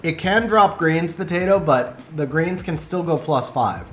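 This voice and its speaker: A man giving lively live commentary on game replays and chatting with viewers, his voice getting worn from a long stream. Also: a little high, echoey room, faint music behind. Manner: animated, quick play-by-play, bursts of excitement.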